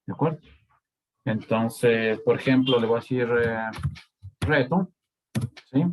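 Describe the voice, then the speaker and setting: A man with an Ecuadorian Spanish accent teaching a live coding lesson, stopping often to ask the students whether they are following. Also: mid-range voice. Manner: slow, checks in with frequent "¿sí?" and "¿de acuerdo?". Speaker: university lecturer.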